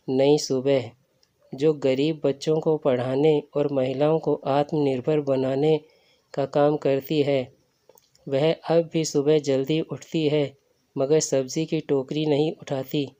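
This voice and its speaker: Slow, flat-toned male storyteller, slightly high voice. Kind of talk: scripted story narration. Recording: dull lo-fi recording.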